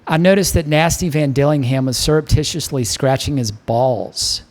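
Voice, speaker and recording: A man's voice: on the high side, moderate pace, a little mellow. A man narrating a first-person novel as an audiobook reader.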